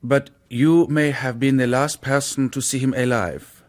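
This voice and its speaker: An actor playing an unbothered, worldly local official asking about a death in a radio drama. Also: male voice, mid-range voice, fairly even intonation, measured pace, lightly acted. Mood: matter-of-fact, cynical.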